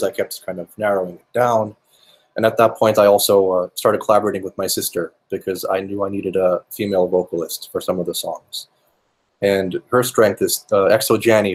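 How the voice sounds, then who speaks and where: Low-voiced Finnish man, halting answers. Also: a little mellow, husky. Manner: thoughtful, with many fillers and restarts. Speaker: musician guest. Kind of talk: conversational interview answers.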